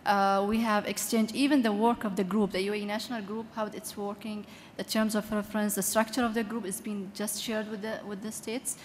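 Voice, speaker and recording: Lady on a conference panel, halting and earnest, mic echoing through a big hall, faint hiss. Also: mid-range voice, level tone. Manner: earnest, halting with frequent restarts. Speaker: panelist, aviation environment official. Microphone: PA microphone. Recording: reverberant hall with faint noise.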